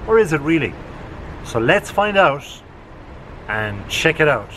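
A man's voice: on the high side, big pitch swings, unhurried, bright, forceful tone, slight background noise, poor audio. A man hosting a podcast, asking casual, probing questions with many fillers out on the street. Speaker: podcast host. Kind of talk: street interview. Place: outdoors on a street.

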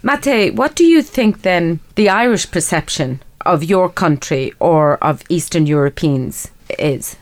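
A woman with a low-pitched voice hosts a radio interview. She puts questions to her guests in a conversational way. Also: animated, a little slow, few pauses.

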